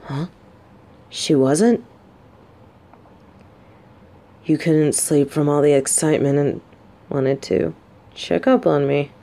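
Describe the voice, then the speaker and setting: Female soldier bodyguard for romance audio played by a woman: mellow, slow, halting delivery, tough front over a bashful, smitten heart. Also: deep voice, vocal fry. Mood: sulky, puzzled. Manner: halting, flustered, soft-spoken. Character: adult female military bodyguard, gruff but shy, secretly lovestruck. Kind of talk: scripted romantic audio roleplay.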